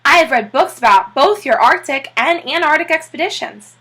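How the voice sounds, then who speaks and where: Earnest, polite storybook everyman read by a woman, easily amazed, highish and animated. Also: moderate pace. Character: Mr. Popper, earnest, respectful, easily amazed family man.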